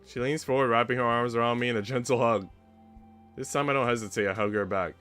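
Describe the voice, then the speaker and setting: A man's voice: mid-range voice, steady tone, fast talker, a bit bright, smooth. A man streaming a visual novel, mixing slangy, casual reactions with reading the narration aloud. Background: game music.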